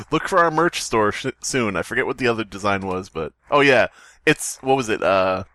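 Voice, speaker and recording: Man, podcast host, animated intonation, casual, jokey riffing, very dry recording. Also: medium pitch, dry recording. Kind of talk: casual comedic podcast banter.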